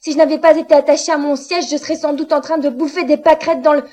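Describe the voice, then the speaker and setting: A woman's voice: high voice, fairly even intonation, lightly acted. A woman playing a sarcastic young sidekick. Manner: quick, sarcastic, sharp. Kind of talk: amateur science-fiction audio drama acting.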